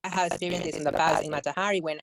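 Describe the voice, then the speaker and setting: Low-voiced woman sharing her experience. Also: fairly even intonation, creaky, crowd noise, rough recording. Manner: personal, earnest, halting phrasing. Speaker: member in a meeting. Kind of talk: sharing experience in a group discussion.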